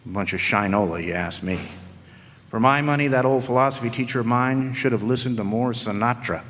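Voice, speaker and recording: A man's voice: mid-range voice, brisk, a bit bright, lightly acted. A man playing a wisecracking, world-weary private eye in old-time radio noir style, narrating with sardonic slang. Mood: jaded, sardonic. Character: wisecracking, world-weary hard-boiled male private detective. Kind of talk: 1940s radio noir acting, first-person narration. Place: live stage performance in a hall. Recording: echoey hall, background noise.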